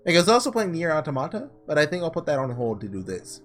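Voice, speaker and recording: A man doing a casual let's play, reading story text aloud and breaking off into excitable asides, with game music behind him. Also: fairly high pitch, fast talker. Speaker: gaming video creator doing a blind playthrough.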